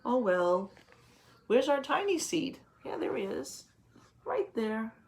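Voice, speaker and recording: A woman's voice: fairly high pitch, sing-song, slow pace, vocal fry, darkish tone. A woman teacher reading a picture book aloud to young children, patiently explaining in simple words and putting questions to the listeners.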